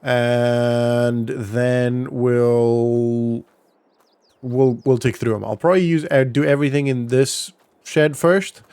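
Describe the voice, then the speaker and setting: Male gaming vlogger, smooth clear voice, close dry sound, informal think-aloud roleplay commentary. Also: mid-range voice, level tone, slow pace, darkish tone, no echo. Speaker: gaming vlog host. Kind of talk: casual roleplay gameplay commentary.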